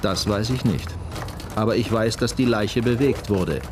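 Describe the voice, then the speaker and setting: Persistent low-voiced police detective played by a man. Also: normal speed, noisy background, natural voice. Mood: insistent, accusing. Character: persistent, matter-of-fact male police detective. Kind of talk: German radio crime drama acting.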